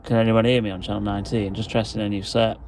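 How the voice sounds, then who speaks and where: Low-voiced man chatting casually to camera. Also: flat delivery, fast talker, a crowd in the background. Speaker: video host. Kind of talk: casual vlog talk.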